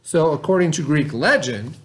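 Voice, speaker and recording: A male teacher with a moderately high voice reads and explains for children. His delivery is informal and direct, in the manner of classroom storytelling and review. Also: animated, moderate pace. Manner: informal, questioning, talks directly to pupils. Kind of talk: children's read-aloud and lesson.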